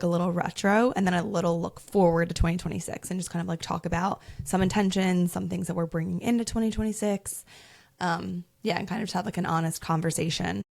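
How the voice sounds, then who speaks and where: A young adult woman co-hosting a podcast in relaxed, chatty talk full of "like". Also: fairly low pitch, vocal fry. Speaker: podcast co-host. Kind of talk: casual chat.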